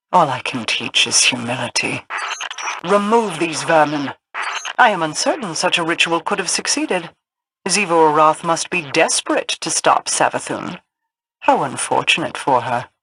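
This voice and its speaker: An actress playing a regal, imperious, cold queen in a video game, speaking formally and with measured haughtiness. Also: deep voice, background noise.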